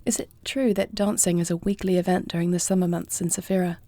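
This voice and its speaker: A woman playing a compassionate, self-doubting young dragon queen in a fantasy audio roleplay, speaking formally, earnestly and with soft intimacy. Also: fairly low pitch, flat delivery, quick pace, no room sound. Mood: curious, warm.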